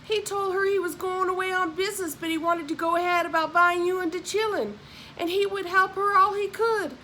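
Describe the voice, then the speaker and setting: Woman voicing a high-pitched, pleading Southern servant woman. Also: fairly even intonation, brisk, a little hiss or hum, low-quality recording. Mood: urgent pleading. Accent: Southern US dialect. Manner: urgent, pleading, hushed warning. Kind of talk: audiobook character voice.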